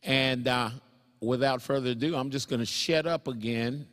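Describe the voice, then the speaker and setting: A man's voice: mid-range voice, normal speed, fairly bright tone. A man hosting a career networking meeting, rambling with jokey self-deprecating asides between announcements. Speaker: networking group host. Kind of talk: live event emcee talk. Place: event hall. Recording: reverberant event hall.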